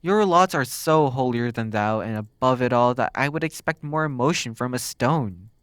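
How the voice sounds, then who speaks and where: Casting: male actor as a sardonic, flirtatious demon for ASMR roleplay, mid-pitched, intimate, teasing with lingering pauses, recorded close and dry. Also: animated, fairly bright tone, no echo, close to an everyday voice. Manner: teasing, sardonic, drawn-out words with dramatic pauses. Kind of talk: ASMR roleplay, intimate one-sided dialogue.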